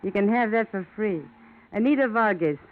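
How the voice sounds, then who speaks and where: A woman's voice: medium pitch, lively intonation, moderate pace, natural voice. An actress playing a wary, sardonic, tough-talking young barroom woman in an old-time radio detective drama. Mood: coy, bargaining. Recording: tinny, faintly noisy old radio recording.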